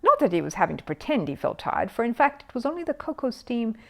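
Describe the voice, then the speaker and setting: Animated woman reading a children's story. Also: fairly high pitch, fast talker, creaky. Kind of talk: expressive scripted storybook narration.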